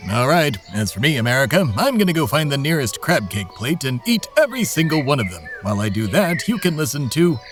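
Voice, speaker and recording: A man's voice: on the high side, sing-song, a little fast, few pauses, faint music behind. A man playing a pompous, boastful, self-proclaimed living embodiment of America, hosting a comedy audio drama in a grandiose, theatrical way. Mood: haughty, offhand. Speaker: podcast host.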